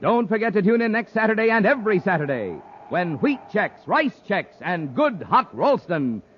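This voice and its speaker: A man works as a fifties radio announcer, reading with dramatic salesmanship. His voice is high and swings emphatically. The recording is muffled and old. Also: very animated, moderate pace, a little hiss or hum. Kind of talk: 1950s radio announcing, scripted.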